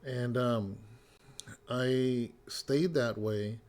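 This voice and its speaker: A man with a slightly hoarse, raspy voice, still getting it back after a cold. He speaks at a plain middle pitch, talking loosely and personally to his congregation, with lots of "um" and "you know". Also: drawn-out words. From California. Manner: relaxed, rambling storytelling with many fillers. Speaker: pastor. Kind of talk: casual spoken devotional update.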